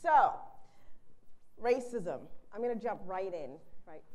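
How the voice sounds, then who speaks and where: A middle-aged woman from Boston, with no Boston accent, giving a conference keynote in a direct, conversational way in a large bright room. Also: medium pitch, lively intonation, slow pace. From Boston, USA. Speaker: keynote speaker. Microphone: handheld mic, then lapel mic.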